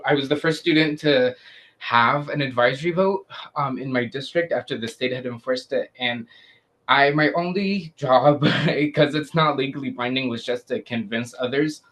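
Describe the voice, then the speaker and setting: An earnest young man from Syria answers questions before a student committee. He speaks with frequent pauses and fillers at a middling pitch. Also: fairly bright tone. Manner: hesitant, many "um"s, earnest. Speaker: student applicant.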